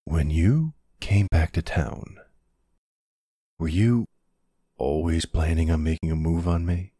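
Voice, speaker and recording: A man playing a protective, gruff but tender older boyfriend in an ASMR audio roleplay, unhurried and plain-spoken, with a husky, gravelly voice. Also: low voice, mellow, no room sound, studio quality, exaggerated voice. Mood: tender, reassuring.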